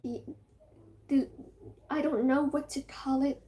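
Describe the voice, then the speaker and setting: A young woman from Morocco with a Moroccan accent, Amazigh her first language, chatting casually and excitably, rambling with many fillers and dropping into whispers. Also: high voice, slow pace. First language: Amazigh (Tamazight). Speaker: vlogger.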